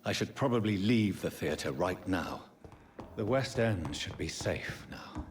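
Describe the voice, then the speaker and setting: An actor playing a grave, courteous vampire doctor in a game, weary and principled, speaking in measured, formal phrases. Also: male voice, low-pitched, expressive, slight background noise. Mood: brooding. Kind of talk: dramatic game dialogue and inner monologue.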